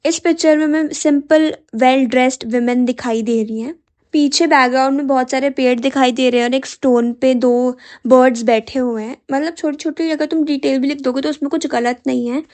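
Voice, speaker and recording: A young woman teaching an exam-prep lesson with a hoarse, sore voice, chatting directly to her students in a mix of Hindi and English. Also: high-pitched, steady tone, normal speed, a little mellow.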